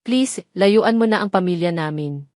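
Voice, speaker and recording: A cold young woman in a romance audio drama, played by a woman narrator. She is formal and deliberate, with quiet menace toward her rival. The sound is dry and close. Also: fairly low pitch, no echo, natural voice. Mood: cold, threatening.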